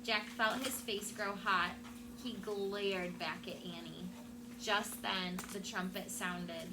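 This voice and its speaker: A woman teacher doing an expressive storybook read-aloud for her class. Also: mid-range voice, slow. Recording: clear background room noise.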